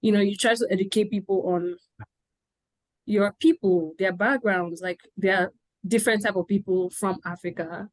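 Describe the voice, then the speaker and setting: A woman from Nigeria hosting a panel conversation over a video call, chatty and quick to laugh, with many fillers. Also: medium pitch, fairly even intonation, no room sound. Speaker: interviewer.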